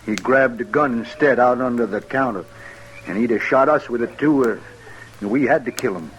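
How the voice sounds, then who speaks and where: An actor playing a naive, slow-witted outlaw drifter with a rural American accent and folksy manner in an old radio western. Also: male voice, medium pitch, close to an everyday voice. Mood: guileless. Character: simple-minded, naive male outlaw drifter, war veteran. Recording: noisy vintage radio recording.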